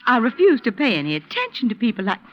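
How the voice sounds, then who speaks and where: An actress playing a gossipy, snobbish older widow who chatters on and on, in 1950s radio drama acting. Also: female voice, on the high side, huge pitch swings. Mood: gossiping. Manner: chattering, prim, rambling on without pause. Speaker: neighbor being questioned. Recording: muffled old radio broadcast.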